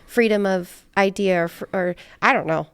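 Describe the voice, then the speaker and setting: A woman's voice: mid-range voice, normal speed, clear, resonant tone, no echo, clean studio sound. An American woman hosting a conversation, talking casually and reflectively as she rambles and thinks aloud. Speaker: podcast host.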